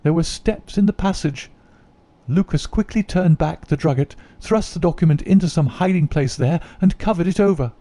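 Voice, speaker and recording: Male reader as guilty young noblewoman, earnest, confessional, mellow tone. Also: fairly high pitch, moderate pace, no room sound, pitched above the performer's own voice, close to an everyday voice. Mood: remorseful.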